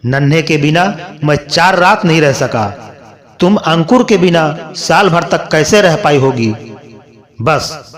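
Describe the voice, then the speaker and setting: A man voicing a gentle, earnest young husband and father in a storybook tale. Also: fairly high pitch, lively intonation, slow pace. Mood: remorseful, pleading. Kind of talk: storybook dialogue read by narrator.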